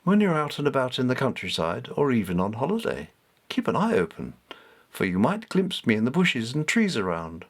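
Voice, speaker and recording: A man voicing a wise, gentle male cat spirit, softly and deeply, in a reassuring, measured storybook manner. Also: wildly swooping pitch. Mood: tender, reassuring. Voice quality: soft, deep.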